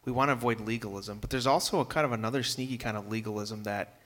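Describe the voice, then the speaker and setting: A man's voice: fairly low pitch, quick pace, fairly bright tone. A man on a conference panel speaking earnestly and conversationally, often restarting his sentences. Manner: earnest, conversational, many fillers and repeated starts. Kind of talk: panel discussion answer.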